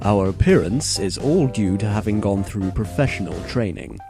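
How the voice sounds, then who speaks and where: A man's voice: fairly low pitch, lively intonation, moderate pace, music in the background. A man playing a dignified, deferential butler in an audio drama, formal and courteous. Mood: deferential, proper. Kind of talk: anime-style audio drama acting.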